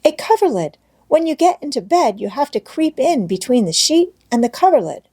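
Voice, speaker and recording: Woman doing a cheerful little storybook girl, high voice, eager n innocent, kinda childlike. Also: big pitch swings, normal speed, a little mellow. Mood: busy, reassuring. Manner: eager, childlike, plain-spoken. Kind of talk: storybook read-aloud character voice.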